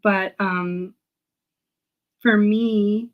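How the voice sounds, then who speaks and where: Earnest, hesitant woman, mid-pitched interview guest. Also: steady tone, drawn-out words, muffled recording. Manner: hesitant, searching for words, earnest. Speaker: guest, public historian. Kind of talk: casual reflective interview talk.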